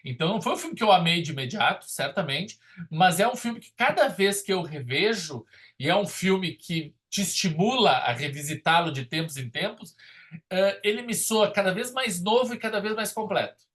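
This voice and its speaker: A man's voice: high voice, lively intonation, normal speed, a bit bright. A man in his forties with a southern Brazilian accent, co-hosting a casual film discussion, speaking emphatically and often rephrasing himself. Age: 40s. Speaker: podcast co-host and film critic.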